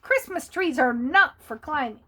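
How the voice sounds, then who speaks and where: A woman's voice: sits high, lively intonation, unhurried, a bit bright. A woman reading a picture book aloud to young children, playful and asking her listeners questions.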